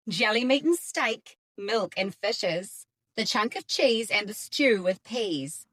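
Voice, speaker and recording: Female children's storyteller: bright, very animated, unhurried, rhythmic. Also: mid-range voice. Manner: expressive, deliberate rhythmic phrasing. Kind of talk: children's picture-book read-aloud.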